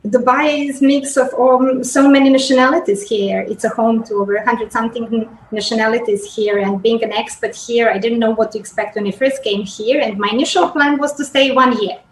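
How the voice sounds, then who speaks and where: A woman's voice: a little high, steady tone, brisk, few pauses, slight background noise. Serbian woman guest in an echoey room. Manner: hesitant, with frequent ums and restarts. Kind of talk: casual interview conversation.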